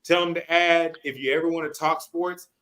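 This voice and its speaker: A man's voice: on the high side, fairly even intonation, moderate pace, pushed and bright. A man hosting a sports podcast in casual chat, talking in a slangy, profane way.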